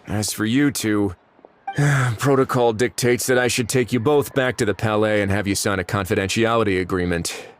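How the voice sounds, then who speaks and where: An actor doing a cool, low-voiced commanding warden. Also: male voice, lightly acted. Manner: cool, measured, dryly commanding. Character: calm, authoritative male warden. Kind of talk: video game cutscene dialogue.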